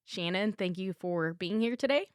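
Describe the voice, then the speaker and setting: A woman's voice: medium pitch, animated, moderate pace, clear, resonant tone, no room sound, studio quality. A woman hosting a podcast interview, asking short questions and giving encouraging replies. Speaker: podcast host.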